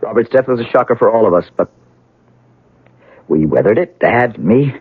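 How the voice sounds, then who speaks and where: An actor playing a grieving, wry and bitter heir to a wealthy house in a 1970s radio drama, speaking haltingly. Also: male voice, fairly low pitch, lively intonation, husky, no echo. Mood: bitter, frank. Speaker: actor in a radio mystery play. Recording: narrowband old radio broadcast, dry.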